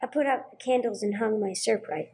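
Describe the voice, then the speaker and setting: An earnest schoolboy in a radio play, voiced by a woman, answers respectfully in a high voice. He is plain-spoken and a bit hesitant, with faint noise in the background. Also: a little fast, a little mellow, close to an everyday voice. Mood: earnest, unsure. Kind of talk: 1950s radio drama acting, amateur church production.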